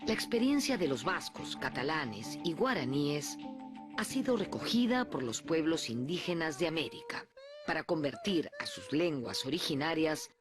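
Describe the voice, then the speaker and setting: A woman reading a scripted documentary narration in a clear, measured way. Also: low voice, huge pitch swings, over music.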